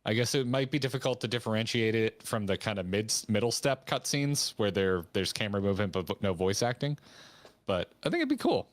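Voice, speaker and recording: Male stream co-host, lowish pitch, very dry sound, informal back-and-forth commentary. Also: very animated, brisk, no echo. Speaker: co-host of a gaming reaction stream. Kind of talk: casual reaction commentary and discussion.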